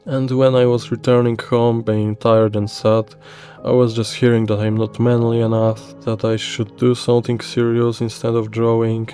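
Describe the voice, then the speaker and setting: A man's voice: mid-range voice, little pitch movement, normal speed. A man from Poland with a Polish accent, a non-native English speaker, narrating a personal story in an earnest, hesitant way. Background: faint soft music.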